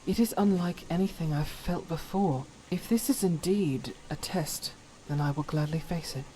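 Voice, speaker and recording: An actress playing a devout, troubled warrior woman in a fantasy game, solemn and earnest with halting delivery. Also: sits low, mellow, close to an everyday voice, low-quality recording. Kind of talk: dramatic fantasy game voice acting.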